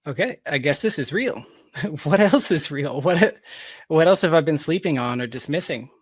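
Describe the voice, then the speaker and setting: A man's voice: on the high side, animated, normal speed, breathy edge, dry recording, studio quality. A man in his thirties from Georgia giving reflective, explanatory answers as a guest in a conversational interview. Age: 30s. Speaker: podcast guest, software developer.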